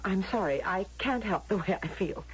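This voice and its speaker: An actress in an old radio drama playing an unhappy, defiant, lovesick young woman, plaintive and halting. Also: mid-range voice, expressive, breathy edge. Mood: defiant, upset. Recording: dull lo-fi vintage radio drama recording.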